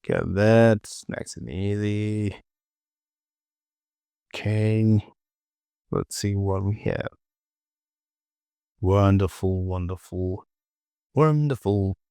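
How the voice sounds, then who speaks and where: A man with a fairly low, creaky voice walks through a software tutorial at a slow pace. He is informal and repeats words as he talks to himself. The recording is close and dry. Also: dry recording. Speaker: 3D modeling tutorial presenter.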